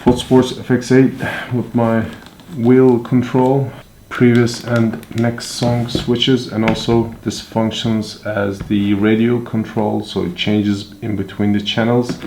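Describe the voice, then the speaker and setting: A man's voice: mid-range voice, little pitch movement, takes it slowly. A man giving a casual hands-on product walkthrough, talking informally and offhand as he thinks aloud while handling the items. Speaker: product reviewer. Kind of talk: casual unboxing and hands-on demonstration. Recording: echoey room with handling clicks and noise.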